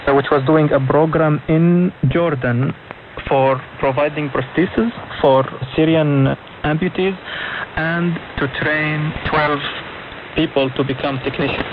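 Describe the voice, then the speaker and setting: A man's voice: a little high, fairly even intonation, unhurried. A young man speaking non-native English in short phrases as an interview guest, recounting his own experiences over a shortwave radio broadcast. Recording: narrow, noisy shortwave radio reception. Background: clear radio static and noise.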